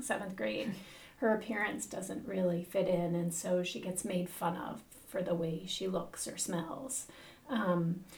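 A woman's voice: medium pitch, vocal fry, a little mellow, far from the mic. A woman hosting a conversational book podcast, thoughtful and reflective, recorded in a roomy space away from the mic. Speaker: podcast host.

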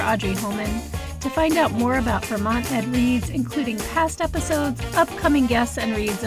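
An American woman hosting a podcast, speaking in an appreciative, effusive manner. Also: fairly high pitch. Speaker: podcast host. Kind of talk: podcast interview hosting and sign-off.

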